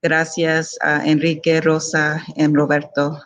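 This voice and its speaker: Organizer giving halting closing thanks. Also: mid-range voice, monotone, a bit bright. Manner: halting, repeats words. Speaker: community organizer. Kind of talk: casual closing remarks.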